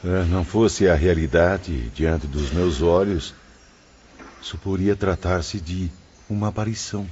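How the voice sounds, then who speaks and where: A man playing an awestruck, bookish gentleman spirit in an audiobook drama, with formal speech. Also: low-pitched, unhurried. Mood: awed. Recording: dull lo-fi audio, faint background noise.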